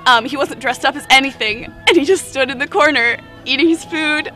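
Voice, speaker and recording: A woman's voice: high-pitched, fairly quick, bright, forceful tone. Giggly teenage girl with music behind. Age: teens. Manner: giggly, chatty. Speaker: student remembering a teacher. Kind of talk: casual interview.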